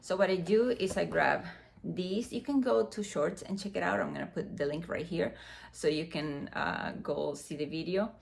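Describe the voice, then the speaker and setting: A woman's voice: lowish voice, moderate pace, gravelly. A woman in her mid forties from the Dominican Republic, a native Spanish speaker with a Dominican accent, chatting casually through an unboxing with many fillers. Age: 40s. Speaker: beauty vlogger. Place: room next to a window, street noise outside. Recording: faint outside noise from the window.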